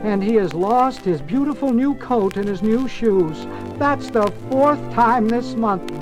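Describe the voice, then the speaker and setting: A man acts out a weary storybook mother rabbit, exasperated and scolding. The voice is lifted high and draws out its words, with music playing behind. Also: animated, a little slow, poor audio.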